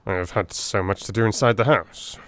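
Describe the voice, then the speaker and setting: A man's voice: lowish voice, fairly quick, fairly bright tone, no echo, close to an everyday voice. Deferential yet self-satisfied Victorian police detective played by a male reader, eager, for audiobook drama. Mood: evasive.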